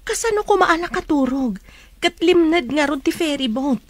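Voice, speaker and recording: An earnest young woman in an Ilocano radio drama, with a high, youthful voice. She speaks as a probing, suspicious daughter with an Ilocano accent. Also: animated, normal speed, dry recording. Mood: concerned, questioning.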